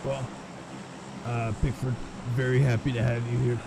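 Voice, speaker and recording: A man's voice: medium pitch, normal speed. A man commentating a live esports match, playing along dryly with his co-caster's jokes. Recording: steady game noise behind the voice.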